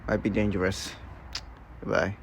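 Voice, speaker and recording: A man's voice: on the low side, level tone, normal speed, vocal fry. A man playing a worried, casual, sweary man fleeing a storm in an amateur scenario skit. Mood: uneasy. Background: loud music.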